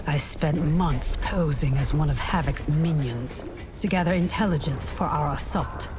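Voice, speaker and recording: Low-voiced, resolute woman fighter. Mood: composed. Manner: measured, formal, assured. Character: resolute woman resistance fighter, composed and commanding. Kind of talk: video game cutscene acting. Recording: loud music over the voice.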